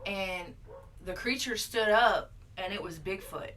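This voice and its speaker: A woman's voice: medium pitch, measured pace, fairly bright tone, slight background noise. A woman casually telling her story as an eyewitness in an improvised comedy interview, insistent and matter-of-fact. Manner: insistent, matter-of-fact about absurd claims. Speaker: mock eyewitness being interviewed.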